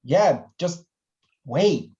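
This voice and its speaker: Slow-talking scruffy young guy played by an actor, dull recording. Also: fairly high pitch, lively intonation, mellow. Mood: strained. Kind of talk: table read acting of a screenplay.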